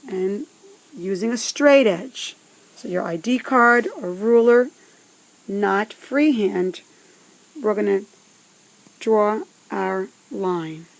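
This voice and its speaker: A woman teaching math step by step, speaking in a deliberate, instructive way with pauses between phrases. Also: medium pitch, no room sound, poor audio. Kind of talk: scripted step-by-step instructional narration.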